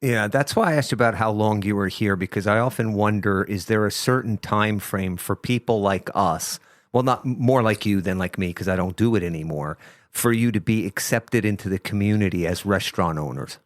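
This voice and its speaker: An older man with a New Jersey accent and a low voice hosts a relaxed interview, chatty and easygoing with plenty of agreeing yeahs. The sound is dry and close to the mic. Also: fairly quick, dry recording. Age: 60s. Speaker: host. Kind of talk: casual interview chat.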